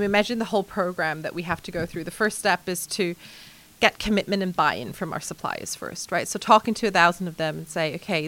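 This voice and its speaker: Bright-voiced woman from Hong Kong. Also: on the low side. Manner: animated, laughs often, many fillers. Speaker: guest, sustainability executive. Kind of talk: conversational interview answers.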